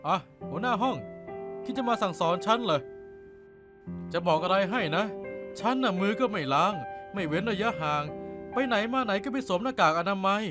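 An actor as cocky cartoon schoolboy athlete, brash, stubborn, animated pitch swings, music behind. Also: moderate pace, natural voice. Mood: cocky, boastful. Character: cocky, defiant schoolboy football player.

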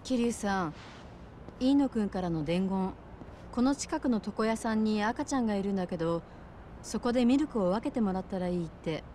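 An actress playing a friendly, helpful bar owner with a casual, considerate manner in a video game. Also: female voice, medium pitch, expressive, moderate pace, dark tone, a little hiss or hum, lightly acted. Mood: helpful, concerned.

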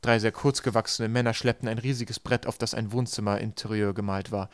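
A man's voice: fairly low pitch, little pitch movement, fairly quick, smooth, no echo. A man reading scripted audiobook narration as the storyteller.

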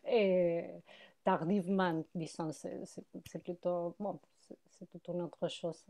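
Woman in her thirties, low and mellow, unhurried and reflective, earbud mic on a video call. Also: steady tone. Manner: hesitant, reflective, laughs softly. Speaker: psychoanalyst panelist. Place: room with bookshelves and a painting.

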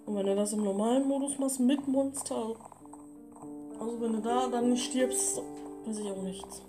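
A woman's voice: on the high side, moderate pace. A woman in her twenties co-hosting a let's play, casually explaining the game. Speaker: gaming video co-host. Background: guitar music.

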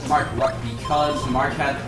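A man's voice: mid-range voice, fairly even intonation, measured pace. A young man gaming with friends, blunt and cursing at them. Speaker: gamer. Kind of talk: casual group let's-play banter. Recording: roomy room mic, game music.

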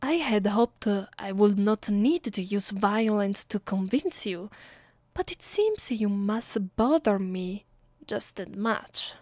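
An imperious, centuries-old female vampire acted out by a woman speaks with an Italian accent in an ASMR roleplay. Her delivery is extremely slow and deliberate, at a medium pitch, with bored, condescending menace. The sound is very dry, with hardly any room on it. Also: expressive, darkish tone, no echo. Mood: contemptuous threat. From Italy.

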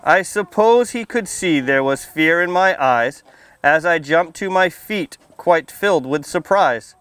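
A man's voice: on the high side, lively intonation, measured pace, a bit bright, smooth, no room sound. A man in his thirties, a firefighter, talking and reading to young children in a plain-spoken, friendly way, outdoors through a face mask. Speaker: firefighter and fire prevention educator reading at a children's storytime. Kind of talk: read-aloud storytime and casual talk to young children. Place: outdoors under a canopy on a library lawn.

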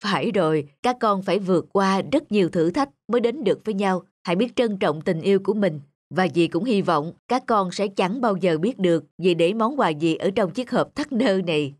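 A woman voicing a kindly, wise middle-aged aunt in an animated drama, gently giving advice. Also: mid-range voice, moderate pace, few pauses, no room sound, lightly acted. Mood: tender, advising. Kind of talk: animated cartoon drama acting.

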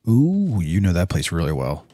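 Hoarse deep-voiced dad hosting a podcast. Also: male voice, huge pitch swings, normal speed, dark tone, no room sound. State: hoarse, losing his voice. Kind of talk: casual family chat.

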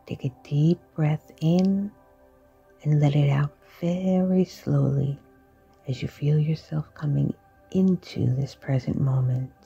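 A woman guiding a sleep meditation in a slow, soft, partly whispered voice. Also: low voice, vocal fry, breathy edge, muffled recording. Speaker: meditation guide.

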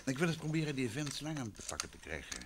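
An actor playing a composed, inquisitive detective in a Dutch radio play, politely probing. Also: male voice, mid-range voice, very animated, quick pace, background noise, close to an everyday voice. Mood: polite, businesslike.

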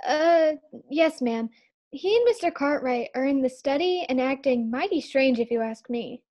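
Woman acting a high-voiced, polite maid. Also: expressive, moderate pace, mellow. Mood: polite, frank. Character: polite, plain-spoken household maid. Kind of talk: old-time radio sitcom acting.

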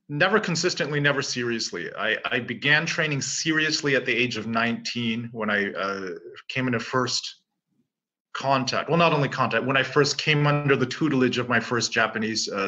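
A man's voice: medium pitch, fairly quick, bright, forceful tone. A Canadian-born man in his forties, a martial arts teacher, talking casually and self-assuredly as an interview guest. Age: 40s.

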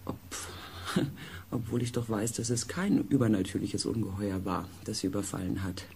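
An actor playing an anxious researcher in a science fiction radio drama, speaking in an uneasy, hesitant way. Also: male voice, fairly low pitch, normal speed, vocal fry, darkish tone, a little hiss or hum. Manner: hesitant, uneasy, searching for words. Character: anxious male researcher on a space expedition.

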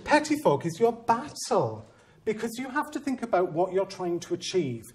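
A man's voice: high voice, big pitch swings, moderate pace, a little mellow. A Welsh man in his forties, a head teacher speaking at a conference to a room of teachers, theatrical and teasing, acting out quoted voices. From Wales. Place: conference training room with seated audience.

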